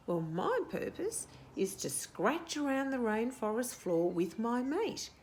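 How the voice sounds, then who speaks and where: Woman as a warbling, slow-talking forest bird. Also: on the high side, sing-song, darkish tone, a little hiss or hum. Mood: matter-of-fact. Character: warbling scrub fowl, matter-of-fact nest-building bird. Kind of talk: children's storybook character voice.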